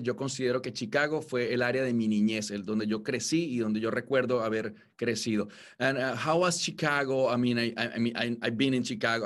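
Halting male interviewer who interprets. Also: mid-range voice. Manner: halting, repeats words while translating. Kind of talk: bilingual interview, translating.

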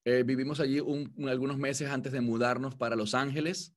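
A man's voice: mid-range voice, level tone, brisk. A man hosting an interview and interpreting it, who often repeats words and restarts while he translates.

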